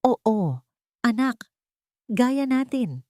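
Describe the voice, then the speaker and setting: A woman voicing a gentle, loving widowed mother in an audiobook story, speaking softly and wistfully with a Filipino accent. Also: on the high side, sing-song, very slow, no room sound, studio quality, voice pushed up, exaggerated voice. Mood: gentle, warm.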